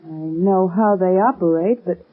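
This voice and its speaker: A woman's voice: on the low side, measured pace. An American woman playing a brave, earnest young shopkeeper's daughter with blunt, suspicious delivery in old radio drama style. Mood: desperate, determined. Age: young adult. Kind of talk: 1930s-40s American radio drama acting. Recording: muffled, narrow-band vintage radio recording.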